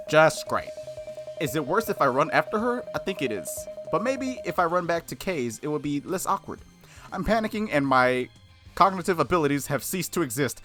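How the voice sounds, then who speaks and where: Male let's-play host, lively swings in pitch, reading story text with casual asides, music behind. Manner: expressive read-aloud, slangy asides. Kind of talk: reading a visual novel aloud with casual reactions.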